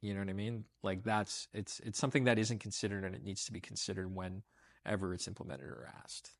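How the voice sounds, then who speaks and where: Former casting man, measured and reflective, slightly low voice, dry sound. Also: level tone, vocal fry, no room sound. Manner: reflective, searching for words. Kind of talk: interview commentary.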